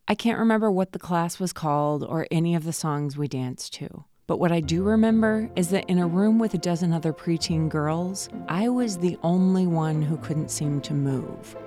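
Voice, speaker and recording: A woman in her forties, hosting a podcast and narrating a personal story in a calm, reflective way. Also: deep voice. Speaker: podcast host.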